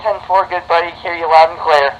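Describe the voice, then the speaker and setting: A man's voice: a little high, fairly even intonation, a little fast, crowd noise. A man giving casual replies heard through a CB two-way radio. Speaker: radio test partner. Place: garage, heard over a radio. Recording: muffled, noisy CB radio transmission with hum.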